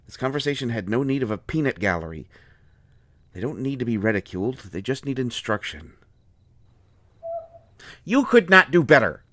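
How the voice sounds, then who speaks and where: A man playing an injured bookish fellow in an audio roleplay, cranky yet gentle, with halting, fussy wording and a pained delivery. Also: mid-range voice, sing-song. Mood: protesting, irritated.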